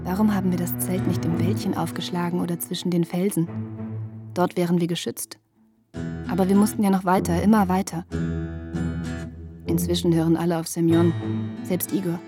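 A woman's voice: lowish voice, level tone, normal speed, long pauses, music in the background, natural voice. An actress playing a disgruntled young woman hiker who narrates her diary in a radio drama.

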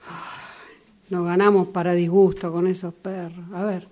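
A woman's voice: fairly low pitch, flat delivery, a little slow, dark tone, natural voice. A woman in an amateur radio drama playing a fussy, solicitous retired old sister with a Rioplatense Argentine accent, given to fretful exclamations.

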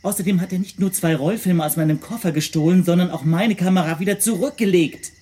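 A woman's voice: fairly low pitch, quick pace, dark tone, husky. A woman in a German radio play acting a cornered, wary spy suspect who asks probing, uneasy questions. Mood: exasperated.

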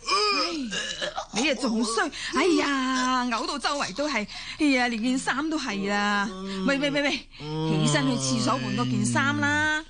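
Radio drama role: grumbling, soft-hearted woman, Hong Kong Cantonese, high-pitched, exclamatory, over prominent music. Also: expressive, a little slow. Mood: exasperated. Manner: scolding, sighing, exclamatory. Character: grumbling, caring woman tending a drunk relative. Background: loud music.

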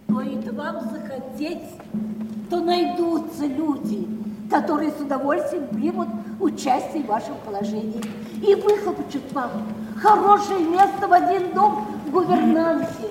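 A woman's voice: sits high, a little slow, darkish tone, a little hiss or hum. An actress playing a poor, fragile young woman in an emotive theatrical style, recorded from far off in an echoey hall. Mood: fervent, overwrought. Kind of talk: theatrical stage acting.

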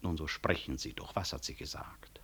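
A middle-aged physician in a 1960s radio drama. His voice is low and his phrasing careful and formal. He stays courteous and gently ironic, yet never yields. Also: husky, full character voice. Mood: pressing. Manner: formal, measured, precise. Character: dignified male medical professor, courteous but unyielding, gently ironic.

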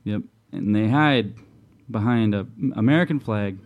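A man's voice: on the low side, moderate pace, narrow, tinny audio. A man co-hosting a radio show, joking and wisecracking in casual banter.

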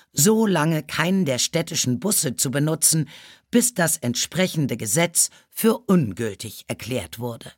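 Male audiobook reader, fairly high voice, dry studio sound. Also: no room sound. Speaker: audiobook narrator. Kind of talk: scripted storybook narration.

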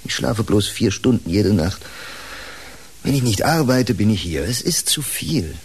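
An actor in a German radio play playing a weary, earnest psychiatrist with a husky voice. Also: male voice, on the low side, very animated, unhurried, heavily acted. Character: weary, earnest male psychiatrist, sad and dutiful. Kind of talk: German radio drama acting.

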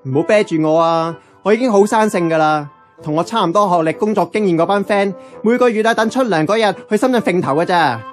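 An actress playing a brash, money-minded slacker nearing thirty, with a Hong Kong Cantonese accent and cocky, slangy radio drama delivery. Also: female voice, on the low side, quick pace, faint music behind, natural voice. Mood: boastful, scheming. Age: young adult.

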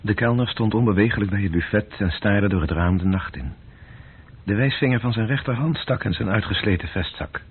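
Deep male narrator, literary radio play narration, muffled narrow recording, faint noise. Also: a little fast, husky.

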